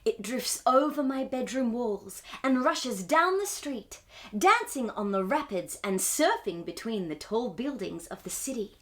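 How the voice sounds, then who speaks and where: A woman hosting a storytime, reading a picture book aloud to young children in an expressive, wonder-filled way. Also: a little high, measured pace. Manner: wide-eyed wonder, exclamations and gasps between passages.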